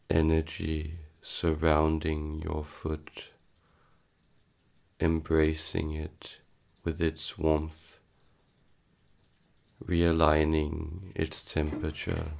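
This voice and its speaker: A man leading a guided meditation in a solemn, hypnotic manner, halting between short soothing phrases. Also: a bass voice, gravelly, darkish tone.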